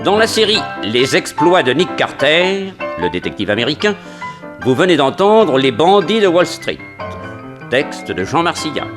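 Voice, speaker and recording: A man's voice: a little high, big pitch swings, measured pace. A man reading radio serial credits as an announcer, in a formal, declamatory manner. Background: music.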